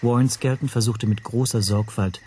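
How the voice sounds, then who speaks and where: An actor playing an observant language teacher who narrates his story in a measured, dryly descriptive way for a radio drama. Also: mid-range voice, steady tone, dark tone, slight background noise, close to an everyday voice.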